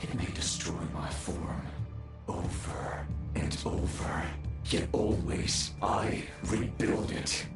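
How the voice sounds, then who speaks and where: Undying game villain: slow, brooding, grandiose, voice ringing with echo. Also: male voice, mid-range voice, creaky. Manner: slow, theatrical, brooding menace. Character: undying male villain, menacing and grandiose sinner. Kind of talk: dramatic game voice acting.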